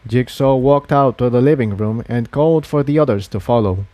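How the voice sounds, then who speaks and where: A man's voice: mid-range voice, moderate pace, mellow, no room sound. A man reading scripted fiction aloud as its narrator.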